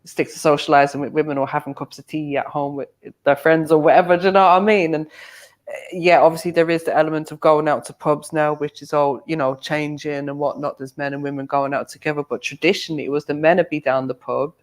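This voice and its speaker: A woman's voice: sits low, flat delivery, fast. A woman speaking frankly on a podcast panel, often checking "do you know what I mean". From Ireland. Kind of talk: casual debate chat.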